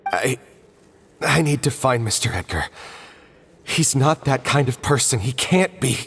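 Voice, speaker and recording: An actor playing a stubborn, troubled young male subordinate in a fantasy video game, speaking in a halting, strained way. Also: mid-range voice, lively intonation, a bit bright, slight background noise. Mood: distressed. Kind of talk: scripted video game voice acting.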